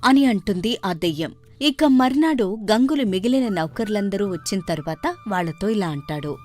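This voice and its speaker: Lady storyteller reading a kids moral story, mid pitch voice, soft music behind. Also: expressive, normal speed. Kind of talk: scripted children's moral story narration.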